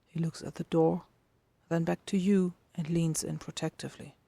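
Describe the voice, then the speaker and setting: A woman narrating a dramatic fiction reading in a hushed, intimate manner with deliberate phrasing. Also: low voice, flat delivery, gravelly, no room sound. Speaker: podfic narrator.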